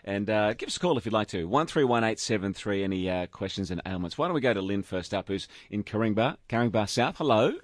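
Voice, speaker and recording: Croaky Australian man hosting radio. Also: lowish voice, expressive, fast talker, few pauses, no echo, clean studio sound. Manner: joking and chatty. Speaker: radio show host. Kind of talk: casual chat. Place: radio studio.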